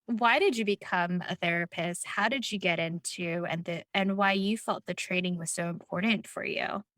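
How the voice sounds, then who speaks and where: A woman's voice: on the low side, normal speed, fairly bright tone, no room sound, studio quality. A woman hosting a podcast interview, chatting informally and often checking in with "like" and "right?". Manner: informal, peppers talk with "like" and "right?". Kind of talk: casual conversational interviewing.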